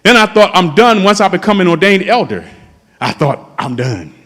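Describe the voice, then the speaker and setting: Fervent man in his fifties, soaring high swooping delivery, preaching in a church with a long echo. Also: very animated, moderate pace, a bit bright. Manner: impassioned preaching, calls out to the congregation. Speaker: pastor. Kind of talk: sermon. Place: church sanctuary. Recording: long hall reverb in a church.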